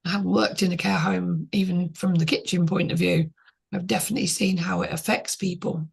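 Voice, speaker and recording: Woman podcast co-host, middle-aged, husky and gravelly, earnest reflective chat. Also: on the low side, steady tone, a little fast. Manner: reflective, earnest, talks in short restarting phrases. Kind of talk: casual podcast conversation.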